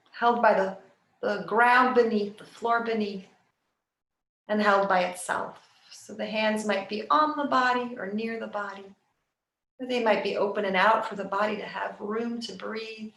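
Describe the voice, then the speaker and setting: A woman yoga nidra teacher leading a guided relaxation meditation in a soft, soothing, unhurried way with long pauses. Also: mid-range voice, vocal fry. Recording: roomy, echoing sound.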